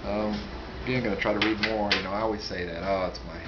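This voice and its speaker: A man giving a casual, unscripted book review, rambling and halting, with asides that trail off. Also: lowish voice, fairly even intonation, very noisy. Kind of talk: casual, unscripted talk to camera. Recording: dull sound with music behind. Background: music.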